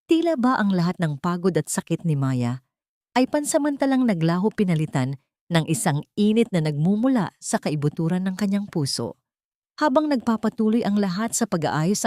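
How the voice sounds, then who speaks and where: A woman narrating a scripted dramatic story in a measured, solemn manner. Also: lowish voice, warm tone, no echo, clean studio sound.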